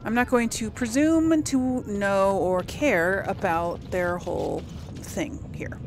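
A woman's voice: mid-range voice, slow, slight background noise. A woman hosting a casual let's play, chatting and thinking aloud as she plays. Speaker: gaming channel host. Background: game music.

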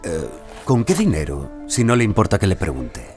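Theatrical, exuberant aristocratic gentleman. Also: low voice, wildly swooping pitch, normal speed, faint music behind. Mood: courteous, frank. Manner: courtly, theatrical, droll. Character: whimsical, exuberant, sickly English lord and patron. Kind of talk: Spanish-language audio drama acting.

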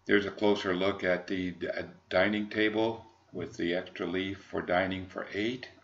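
An American man, middle-aged or older, giving an unscripted sales walkthrough in a halting, measured, formal manner. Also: deep voice, fairly even intonation, highs cut off. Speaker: yacht broker presenting a boat.

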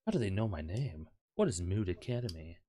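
A man's voice: low voice, sing-song, fairly quick, heavy background noise. A man doing let's play commentary, reading game text aloud with crude jokes and the odd stumble. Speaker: gameplay host.

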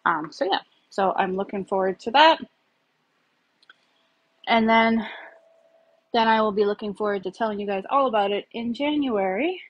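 Thirties woman vlogging in her kitchen, slightly breathy, loose and chatty with fillers, phone on the counter. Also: medium pitch, normal speed, pauses often, dull audio. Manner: chatty, rambling, many fillers. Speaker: home cook vlogger. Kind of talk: casual cooking demo. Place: home kitchen, indoors. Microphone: phone camera on the counter.

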